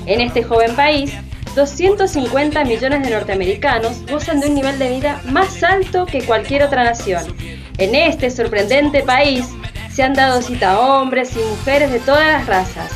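Female documentary narrator, high voice, scripted read over clear music. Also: lively intonation, moderate pace.

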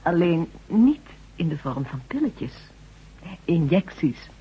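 Cool-headed young lady doctor in old radio play, really breathy husky voice, muffled sound. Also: lowish voice, big pitch swings, slow pace, heavily acted. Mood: matter-of-fact. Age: young adult. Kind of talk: Dutch radio drama acting. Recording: dull old radio recording.

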